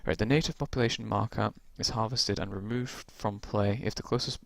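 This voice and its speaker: A man with a low, gravelly voice talks his way through a tabletop game's rules, rambling and restarting as he goes. His voice has turned croaky after a long stretch of talking. The recording is dry and close. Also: steady tone, brisk, dry recording. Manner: rambling, many ums and restarts. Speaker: tabletop game reviewer. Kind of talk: casual rules walkthrough.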